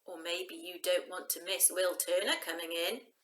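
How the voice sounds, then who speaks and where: A woman's voice: fairly low pitch, steady tone, normal speed, fairly bright tone, a little hiss or hum, natural voice. A woman voicing a practical, daring thirteen-year-old girl with an insistent, snippy manner in a storybook. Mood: teasing.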